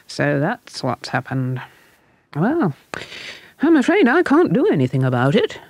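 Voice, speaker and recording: A woman voicing a grave, puzzled male doctor who muses slowly with hmms. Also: low voice, wildly swooping pitch, darkish tone, dry recording, exaggerated voice. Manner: slow, musing, hesitant.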